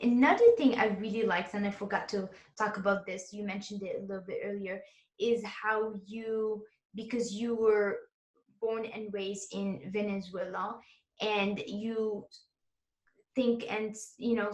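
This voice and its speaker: A woman's voice: medium pitch, fairly even intonation, normal speed, reverberant, poor audio. A woman hosting an interview in casual chat, whose first languages are Arabic and French, talking in an encouraging way and laughing often. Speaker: interview host and exam tutor.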